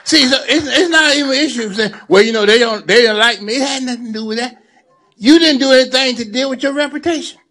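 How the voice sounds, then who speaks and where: A seventy-year-old man speaks to a gathering in a high-pitched voice. He preaches more than he talks, circling back to repeat his key lines for emphasis. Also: fast, sharp tone. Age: 70s. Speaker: lawyer and community activist. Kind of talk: speech to a gathering.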